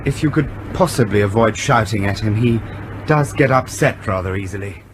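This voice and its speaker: Actor as meek, hesitant naval subordinate. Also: male voice, lowish voice, moderate pace, a little hiss or hum, natural voice. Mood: apologetic, timid. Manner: hesitant, deferential. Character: meek, apologetic male control room subordinate. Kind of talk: radio drama acting.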